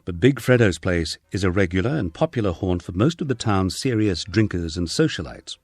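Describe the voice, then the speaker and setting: A man's voice: low voice, lively intonation, fairly quick, darkish tone, no echo, faint music behind. A British man narrating a humorous memoir in a wry, dry storytelling manner. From United Kingdom. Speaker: audiobook narrator.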